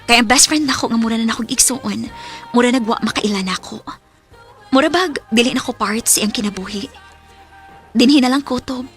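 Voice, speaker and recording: A woman's voice: on the high side, a little fast, long pauses, a bit bright, natural voice. A woman playing a heartbroken, wistful thirty-year-old single woman in a radio drama, with a Cebuano Filipino accent. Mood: hurt, sorrowful. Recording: music under the voice.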